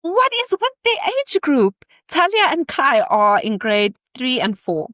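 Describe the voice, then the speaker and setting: A woman's voice: high-pitched, big pitch swings, measured pace, a bit bright, no echo. A woman in her thirties, a podcast guest, telling candid stories in conversation with playful asides and easy laughter.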